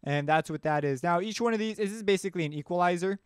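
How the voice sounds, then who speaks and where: A man hosting a casual music production tutorial, explaining step by step as he demonstrates the software. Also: high voice, fast talker.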